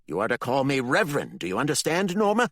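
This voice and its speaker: A man's voice: medium pitch, wildly swooping pitch, quick pace, a bit bright, no room sound, clean studio sound, pitched above the performer's own voice. A man voicing a sneering villainous reverend father. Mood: contemptuous. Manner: sneering, contemptuous, threatening. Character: cruel scheming father, a reverend.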